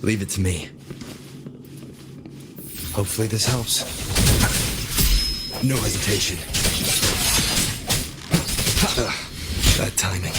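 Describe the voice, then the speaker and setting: An actor playing a calm young male wanderer in a video game, steady and supportive, with a cool, understated manner. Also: lowish voice, measured pace, heavy background noise. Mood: determined.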